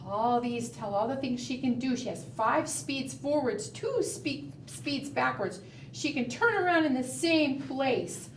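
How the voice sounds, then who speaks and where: A woman leading a preschool storytime, reading aloud and doing fingerplay in a sing-song manner, often putting questions to young children. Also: on the high side. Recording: roomy, distant mic, faint background noise.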